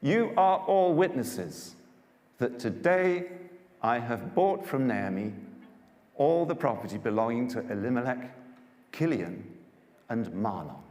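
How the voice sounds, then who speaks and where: A man playing a kindly, honourable older landowner who speaks with earnest, deliberate authority. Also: medium pitch, big pitch swings, darkish tone. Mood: solemn, proclaiming. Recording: echoey hall with long reverb.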